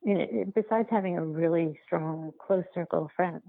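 A woman filmmaker answering interview questions in a halting, reflective way, often circling back to rephrase, over a phone-like line. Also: on the low side, gravelly, no room sound. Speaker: documentary filmmaker being interviewed.

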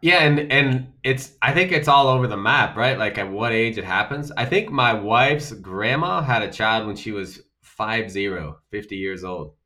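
A man's voice: on the low side, expressive, moderate pace, hardly any pauses, bright, forceful tone. A middle-aged man co-hosting a podcast in casual chat, teasing and joking with his co-host and guest.

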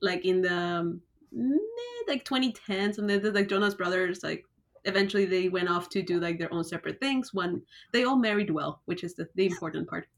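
A woman hosting a podcast over a video call, excitable and quick with her questions. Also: on the low side, slight background noise. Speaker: podcast host. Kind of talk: casual interview chat.

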